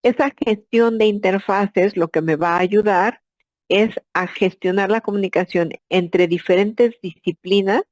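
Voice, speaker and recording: A woman's voice: medium pitch, measured pace, darkish tone, dry recording. A woman, an architect, giving an explanatory masterclass lecture and asking herself rhetorical questions. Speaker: architect and instructor.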